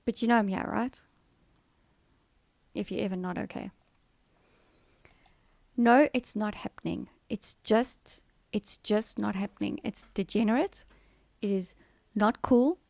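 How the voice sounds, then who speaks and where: A woman's voice: mid-range voice, measured pace, creaky, long pauses, a little mellow, no echo. A woman live streamer chatting casually with her viewers in a soothing voice, playfully answering comments and firmly saying no. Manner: chatty and playful, breaking into firm repeated no's.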